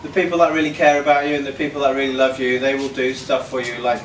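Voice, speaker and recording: A man in his fifties giving an impromptu, sincere thank-you speech in a halting way. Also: mid-range voice, flat delivery. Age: 50s. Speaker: birthday honoree giving a speech. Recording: echoey, noisy room, distant microphone.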